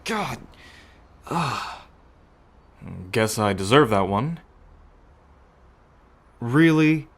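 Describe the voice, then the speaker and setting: A man's voice: mid-range voice, lively intonation, very slow, pauses often. A man playing an estranged brother in an ASMR roleplay, sheepish and pleading as he talks to his sister.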